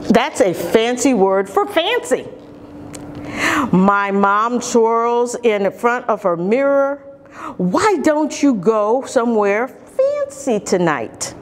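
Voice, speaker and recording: A middle-aged woman reads a picture book aloud to young children, expressively and deliberately, drawing out key words, recorded indoors from a camera. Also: mid-range voice, a little hiss or hum. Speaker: storytime reader for young children. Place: large carpeted indoor lobby or lounge.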